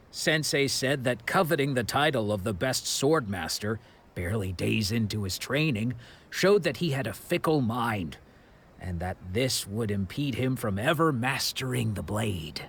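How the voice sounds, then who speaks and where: A man voicing a proud, embittered middle-aged wandering swordsman in a video game, with a measured, reflective way of telling his story. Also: lowish voice. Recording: clear music under the voice.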